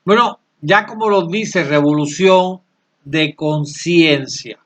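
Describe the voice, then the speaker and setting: A man in his late sixties from Cuba, answering interview questions earnestly and haltingly, often repeating words as he searches for them. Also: fairly high pitch. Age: 60s. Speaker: channel creator, interviewee.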